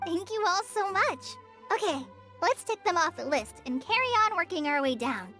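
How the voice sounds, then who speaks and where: A woman voicing a shy, gentle young woman in a video game, speaking softly and politely. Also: extremely high pitch, lively intonation, normal speed, heavily acted. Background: game music.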